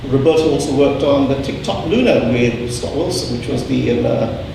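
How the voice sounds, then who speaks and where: Man, host, gravelly voice, halting, lots of ums, far from mic, echoey hall. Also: mid-range voice, background noise. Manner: halting, many fillers. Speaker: event host.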